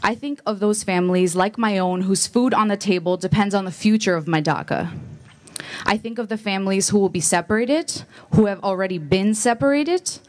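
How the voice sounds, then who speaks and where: A woman's voice: mid-range voice, moderate pace. A young adult woman giving an earnest, prepared rally speech as a student activist.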